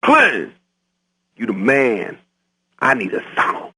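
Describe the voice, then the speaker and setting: A man's voice: mid-range voice, wildly swooping pitch, bright, forceful tone, breathy edge, exaggerated voice. A man playing a brash, hyped-up hip-hop fan calling a radio station, drawing out street slang. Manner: drawn-out, swooping street slang. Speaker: radio caller.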